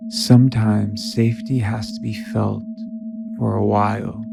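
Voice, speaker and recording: A man leading a guided meditation in a soft, soothing manner, leaving long pauses between short phrases. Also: lowish voice, level tone, vocal fry, music in the background.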